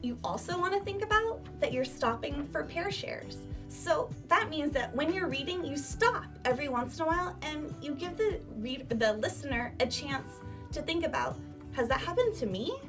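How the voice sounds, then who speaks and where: High-pitched woman teacher, distant mic over music. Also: moderate pace. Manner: emphatic, animated teacher delivery with rhetorical questions. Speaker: elementary school teacher. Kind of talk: instructional talk to children. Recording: distant microphone, music behind.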